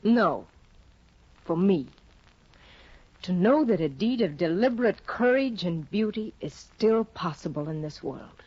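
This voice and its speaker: A proud aristocratic young wife speaks in a cool, husky, mid-range voice. She is clipped and imperious. The recording has the dull, lo-fi sound of a 1950 radio play. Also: fairly low pitch, expressive, a little slow, pauses often, no room sound. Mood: exalted. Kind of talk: 1950s radio drama acting.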